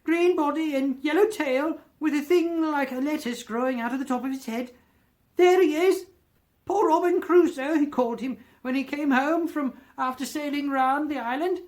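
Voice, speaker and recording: A woman voicing an old male miser in a storybook, earnest and excited, between laughing and crying. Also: extremely high pitch, measured pace, hardly any pauses, pitched above the performer's own voice. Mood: gleeful excitement. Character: old miser Scrooge, excited and tearful at boyhood memories.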